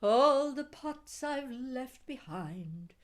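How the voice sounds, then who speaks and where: A mature woman reading her own poetry expressively, with deliberate phrasing and dramatic pauses. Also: high voice, lively intonation. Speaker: poet reading own work.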